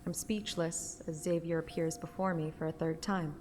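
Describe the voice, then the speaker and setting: A woman's voice: low voice, fairly even intonation, normal speed, long reverb tail, noisy background. A woman narrating a fantasy romance game story in an audiobook style. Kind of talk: audiobook narration with acted dialogue.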